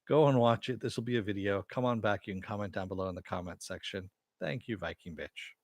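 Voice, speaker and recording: Chatty male stream host with a low voice and a close, dry home mic sound, rambling and talking back to his chat. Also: quick pace, darkish tone, no room sound. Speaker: show host reviewing a TV episode. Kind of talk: casual live-stream chat and recap. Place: home room. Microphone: headset mic with headphones.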